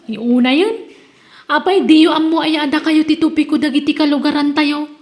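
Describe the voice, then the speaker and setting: Woman playing a very high-pitched scolding Ilocano daughter. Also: steady tone, moderate pace, darkish tone, lightly acted. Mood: chiding. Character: disapproving, sensible young daughter scolding her mother. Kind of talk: comedy drama acting.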